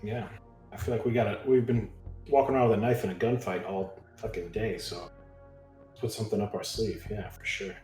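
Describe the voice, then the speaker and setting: A man's voice: fairly low pitch, steady tone, brisk, darkish tone, soft background music. A man playing a tabletop game, bantering casually and bluntly in a roomy space.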